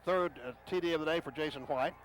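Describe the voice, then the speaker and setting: A man calling a football game play by play for radio, rising in pitch and volume on big plays. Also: fast talker, a bit bright. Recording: noisy stadium broadcast.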